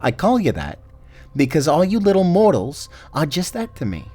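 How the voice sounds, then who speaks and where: Man playing a wisecracking Grim Reaper with swooping pitch. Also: huge pitch swings, normal speed, warm tone, husky, full character voice. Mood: playful, explaining. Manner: smug, jokey, slangy wise-guy talk. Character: cocky, wisecracking male Grim Reaper, casual and teasing. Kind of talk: fantasy audio roleplay character acting.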